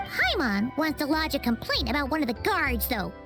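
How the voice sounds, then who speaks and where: A woman voicing a tiny, childlike, chatty and opinionated fairy companion in a video game, with a squeaky way of talking. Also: very animated, moderate pace, loud music behind the voice. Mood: matter-of-fact. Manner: refers to self in third person, squeaky.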